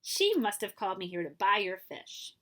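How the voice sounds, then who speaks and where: A woman's voice: medium pitch, big pitch swings, normal speed, bright, forceful tone. A woman voicing an elegant, amused young lady in a storybook, light and exclaiming. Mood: amused, delighted.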